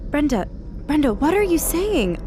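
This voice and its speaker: A woman's voice: high-pitched, big pitch swings, normal speed, music in the background. A woman playing an anxious radio host in an audio drama, questioning and urging on her reporter with insistence. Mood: worried, bewildered. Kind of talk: modern radio drama acting.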